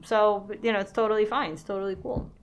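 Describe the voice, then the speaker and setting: A woman's voice: mid-range voice, fairly even intonation, quick pace. A woman chocolate maker being interviewed on a podcast, easygoing and polite, with dry humor. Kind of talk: casual interview chat.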